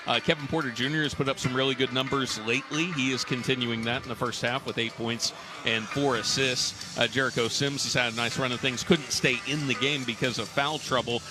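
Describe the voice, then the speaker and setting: A man hosts a live basketball halftime show on radio, breaking down the play. His voice sits in a middle register. Music plays clearly behind him. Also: medium pitch. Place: arena radio broadcast. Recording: music behind the broadcast talk.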